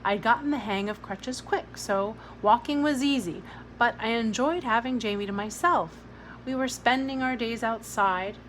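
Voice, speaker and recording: A woman's voice: fairly high pitch, rough recording. A woman reading a novel aloud in a storytelling narration style. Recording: clear steady background noise.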